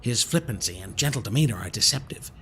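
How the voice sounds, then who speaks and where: Man playing a theatrical, swooping, smooth-talking villain. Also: lowish voice, lively intonation, fast, fairly bright tone, a little hiss or hum. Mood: grandly persuasive. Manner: theatrical, mock-polite, wordy. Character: smooth-talking, falsely kindly villainous male con man. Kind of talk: scripted audio drama acting.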